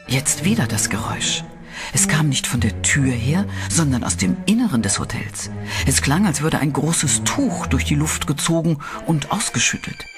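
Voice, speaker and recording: A man reading scripted, dramatic narration as the narrator of a horror audio play. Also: on the high side. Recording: music behind the voice.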